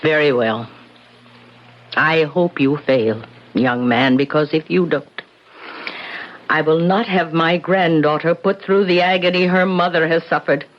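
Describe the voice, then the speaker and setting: A woman's voice: sits low, steady tone, measured pace. A woman acting an indomitable, bitter old grandmother in a radio drama, with an imperious, biting manner. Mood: cold, threatening. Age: older. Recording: dull old radio broadcast.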